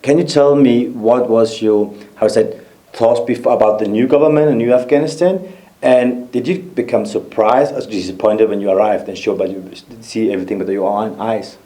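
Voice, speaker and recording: A man hosting an interview, asking questions in a halting way with frequent fillers. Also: lowish voice, warm tone. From Europe. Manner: hesitant, halting phrasing with fillers. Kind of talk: casual on-location interview. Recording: roomy, distant mic with faint noise.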